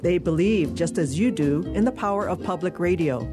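Very lively woman announcer over music. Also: on the low side, moderate pace. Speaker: radio underwriting announcer. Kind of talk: scripted sponsor announcement.

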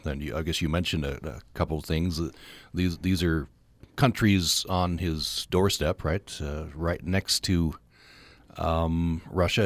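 A man with a very low voice hosts a radio interview and asks questions. He pauses and restarts while he searches for words. The recording is dry and close. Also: no room sound. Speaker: radio show host.

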